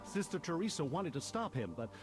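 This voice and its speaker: An actor playing an earnest, conciliatory man in a fantasy game, explaining himself in a halting, apologetic manner. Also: a little high, sing-song. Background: game music.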